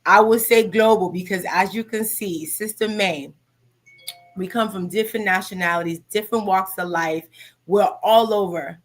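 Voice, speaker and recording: A woman's voice: mid-range voice, moderate pace, faint music behind. A woman in her thirties hosting a live talk show in an upbeat, motivational way, often adding "okay?". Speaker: host.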